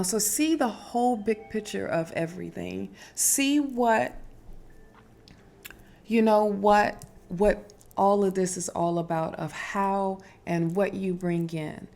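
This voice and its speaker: A woman talks through spiritual advice at a slow, easy pace, circling back to her points with fillers and restarts. Her voice is strong and loud, sitting at a middle pitch for a woman. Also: creaky. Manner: unhurried, repetitive, emphatic, with fillers and restarts. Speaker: spiritual wellness host. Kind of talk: casual spiritual advice talk.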